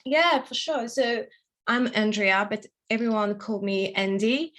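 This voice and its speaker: A woman's voice: on the high side, measured pace, a bit bright. A woman in her twenties with a French accent, chatting upbeat as a podcast guest. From France. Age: 20s. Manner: upbeat, laughs often, many fillers like "like". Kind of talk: casual interview chat.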